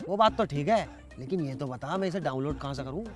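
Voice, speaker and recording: Man acting a high-voiced grumbling villager. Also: animated, fast, music in the background, lightly acted. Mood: curious. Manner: blunt, inquisitive. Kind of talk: casual comedy skit acting.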